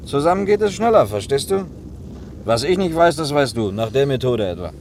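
An actor playing a patient, matter-of-fact driver in a naturalistic radio play, gently teasing as he talks. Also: mid-range voice, sing-song, brisk, a little hiss or hum. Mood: explaining. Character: patient, reasonable working man driving a car.

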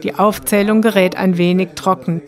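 A woman reading scripted documentary narration in a calm, measured way. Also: mid-range voice. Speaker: radio reporter, narrator.

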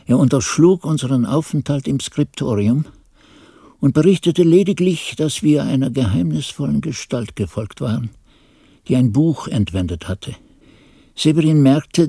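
An actor playing a monk who solemnly recounts grim events, with a husky voice, as literary narration in a radio drama. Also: male voice, medium pitch, warm tone, no room sound, studio quality. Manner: solemn, reflective, storytelling.

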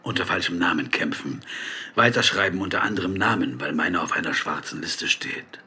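An actor playing a probing, wry blacklisted American screenwriter in a radio drama. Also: male voice, low-pitched, brisk, pushed and bright, breathy edge, heavily acted. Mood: wry, resigned.

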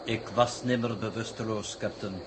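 A man's voice: on the low side, fairly even intonation, moderate pace, close to an everyday voice. Formal, pedantic space coordinator, acted by a man. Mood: coolly pedantic. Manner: formal, stilted, precise wording. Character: unruffled, pedantic male space mission coordinator. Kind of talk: 1960s Dutch science fiction radio drama acting. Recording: noisy vintage radio drama recording.

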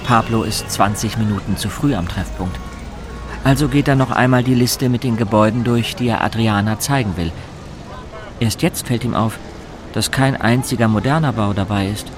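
A man's voice: lowish voice, moderate pace. A man narrating a scripted literary drama as storyteller. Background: music.

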